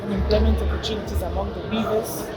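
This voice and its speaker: A woman's voice: mid-range voice, little pitch movement, fast talker. A woman from Ghana, a counseling psychologist, introducing herself confidently and measuredly as a guest. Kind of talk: interview conversation. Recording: very loud music and crowd behind.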